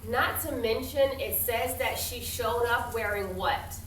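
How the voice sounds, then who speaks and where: Echoey, higher-pitched woman teacher reading aloud. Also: a little slow. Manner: instructive, questioning, expressive storybook reading. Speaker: primary school teacher. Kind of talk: interactive read-aloud to a class. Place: classroom with pupils. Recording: distant echoey classroom, some noise.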